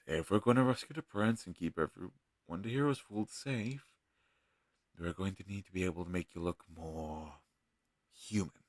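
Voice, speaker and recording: A deep-voiced, gentle healer mage from a fantasy audio roleplay, acted by a man. He speaks close and dry, thinking aloud in an earnest, slightly hesitant way to his travel companion. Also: dry recording. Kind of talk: scripted fantasy audio roleplay addressed to the listener.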